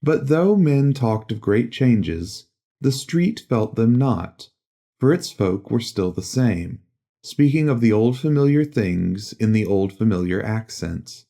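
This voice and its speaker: A man narrating a literary audiobook in a measured, solemn storytelling manner. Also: mid-range voice, expressive, creaky, mellow.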